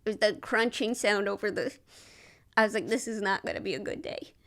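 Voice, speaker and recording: A woman with a high voice, a comedian guest on an interview podcast, quirky and self-deprecating, often rambling through her thoughts. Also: fairly quick, fairly bright tone.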